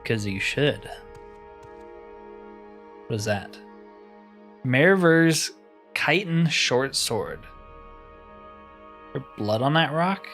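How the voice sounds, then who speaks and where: A man's voice: medium pitch, expressive, very slow, pauses often, a bit bright. Casual, wry male gamer over music. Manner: musing, wry, conversational. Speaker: gamer playing and commentating a fantasy roleplay game. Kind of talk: casual gameplay commentary.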